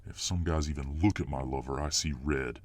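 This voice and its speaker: A man's voice: a bass voice, a little fast, a little mellow. A man playing a dominant, boastful, hot-tempered muscular fireman boyfriend in an ASMR roleplay audio drama. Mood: calming down, apologetic. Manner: boastful, cocky swagger.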